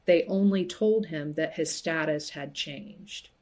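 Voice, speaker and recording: A woman speaking as an expert guest, explaining things step by step in a measured, conversational way. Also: sits low, darkish tone. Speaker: guest legal and policy expert.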